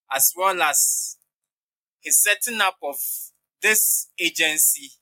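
A man's voice: fairly high pitch, pushed and bright, low-quality recording. A man hosting a podcast, introducing his guest and asking interview questions in a polite, encouraging manner.

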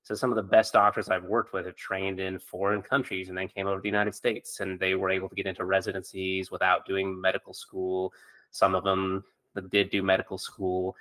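A man's voice: low voice, monotone, fairly quick, sharp tone, rough recording. A man speaking as a podcast host and doctor, interviewing in a conversational style.

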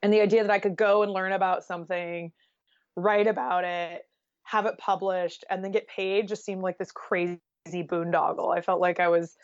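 A woman's voice: on the low side, fairly even intonation, moderate pace, no room sound. Woman, American accent, chatty interview guest, casual storytelling, dry close sound, slightly dull recording. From Oregon, United States.

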